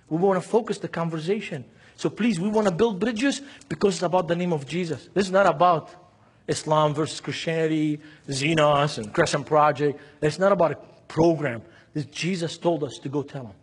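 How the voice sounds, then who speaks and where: A man's voice: sits high, slightly rough. A man from Lebanon with a Lebanese Arabic accent, preaching at a seminar with emphatic repetition, acted-out dialogue and rhetorical questions. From Beirut, Lebanon. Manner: emphatic, acts out dialogue, repeats key phrases.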